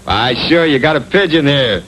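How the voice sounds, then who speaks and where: An American man voicing a sly, fast-talking magic cat in a cartoon, with glib, wheedling salesman patter on an old TV soundtrack. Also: mid-range voice, lively intonation, a bit bright, slight background noise, close to an everyday voice, low-quality recording. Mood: glib, pushy. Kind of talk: comic cartoon voice acting.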